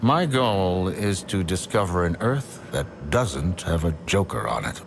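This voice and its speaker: An actor playing a cold, haughty genius villain in a video game, articulate and condescending. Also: male voice, low voice, sing-song, a little slow, full character voice. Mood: disdainful. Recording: loud ambient machinery hum behind.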